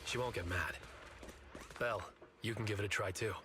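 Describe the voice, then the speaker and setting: A calm, cautious male teammate from a video game. His voice is fairly low and his phrases are terse and matter-of-fact. Music plays clearly behind him. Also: normal speed.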